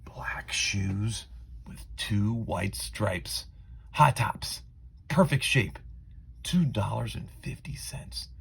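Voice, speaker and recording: A man reading a children's story aloud in an expressive, dramatic way with deliberate phrasing. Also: on the low side, gravelly, husky, whispered. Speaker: storybook reader.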